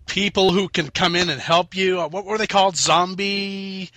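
Higher-pitched man, bright and dry. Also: steady tone, normal speed, no echo. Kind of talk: casual question in a discussion.

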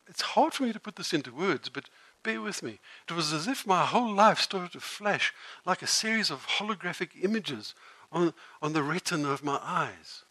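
A man voicing a proud, sharp-tongued Samaritan woman in a dramatic first-person monologue, told in an animated, theatrical way. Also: fairly high pitch, low-quality recording. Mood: awed.

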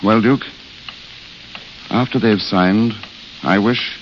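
World-weary, eloquent romantic idealist. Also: male voice, on the low side. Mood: fatalistic, romantic. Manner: courteous, literary, measured. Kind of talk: 1930s-40s radio drama acting. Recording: hissy, dull old radio recording.

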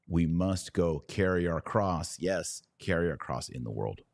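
An American man hosting a podcast, giving religious commentary off the cuff in an emphatic, preachy way with deliberate pauses and repetition. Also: sits low, lively intonation, gravelly, a little mellow, no room sound. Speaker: podcast host.

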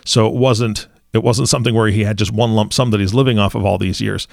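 Gravelly-voiced man in his forties. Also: lowish voice, fast, no room sound, studio quality. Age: 40s. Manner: relaxed and chatty, laughs easily, posing hypothetical scenarios. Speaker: podcast host. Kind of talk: casual interview chat.

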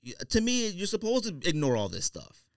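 An American man debates casually on a podcast in a slightly high, very animated voice. He is emphatic and argumentative, quick to cut in. The sound is dry. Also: no room sound. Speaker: podcast host.

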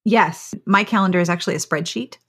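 A woman with a fairly low voice hosts a casual podcast interview. She talks conversationally and pokes fun at herself, often slipping into little imagined exchanges. She speaks close into the microphone. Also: fairly quick, fairly bright tone. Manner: self-deprecating, jokey, acts out little exchanges with herself. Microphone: close microphone inside a foam-lined box.

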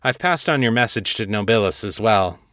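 A man's voice: lowish voice, expressive, normal speed, a bit bright, dry recording, studio quality. A man narrating and presenting as a podcast host in a scripted, read-aloud style.

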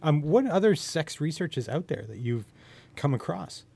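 A man's voice: a little high, sing-song, moderate pace, creaky, warm tone, slightly rough. A man hosting a podcast in a casual chatty style, asking rambling questions and interrupting himself as he goes. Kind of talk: casual chat.

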